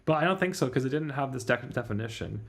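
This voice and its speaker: A man's voice: medium pitch, expressive, fast talker. A man livestreaming a visual novel readalong, chatting casually and thinking aloud in a rambling way. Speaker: streamer reading visual novels.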